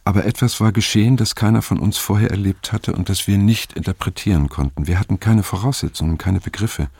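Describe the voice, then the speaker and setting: An actor playing a reflective man who narrates his memories in a radio drama, measured and solemn, with a low creaky voice. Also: a little mellow, dry recording. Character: reflective male narrator recalling a past LSD experiment.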